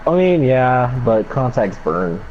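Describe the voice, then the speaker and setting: A man's voice: medium pitch, big pitch swings, slow. A young man telling a personal story casually in a laid-back, slangy way with some swearing. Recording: dull lo-fi mic with background noise.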